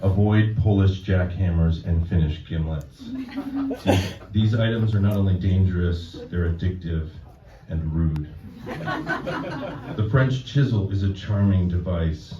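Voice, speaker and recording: A man with a very low voice reads poetry at a live event. His delivery is deadpan and measured, and the sound is echoey, picked up from well back in the room. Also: background noise. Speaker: poet reading own work. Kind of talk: live poetry reading with informal introduction. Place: live reading event before an audience. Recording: dull, roomy, distant event recording.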